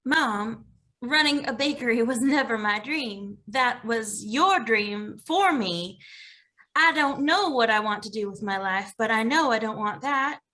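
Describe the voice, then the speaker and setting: A woman playing a frustrated, earnest grown daughter in an animated comedy table read. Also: on the high side, measured pace.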